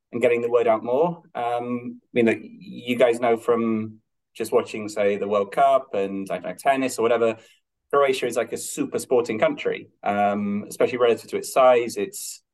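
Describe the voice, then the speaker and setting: A man with a non-Irish accent speaking as a podcast guest in casual, hesitant, rambling interview chat. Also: mid-range voice. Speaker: podcast guest, Gaelic games club member.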